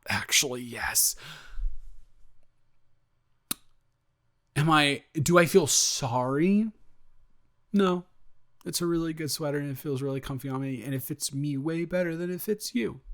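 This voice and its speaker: Guy acting out a sheepish male roommate for a roleplay audio, normal mid voice, awkward n rambly, stammers and repeats himself, super casual. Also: mid-range voice. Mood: sheepish, teasing. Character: sheepish, awkward, good-natured male roommate, secretly smitten.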